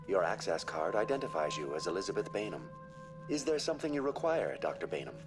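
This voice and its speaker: An actor playing a polite, formal computer assistant hologram in a video game, precise and unruffled. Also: male voice, sits low, big pitch swings, fast, over music. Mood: officious, unruffled.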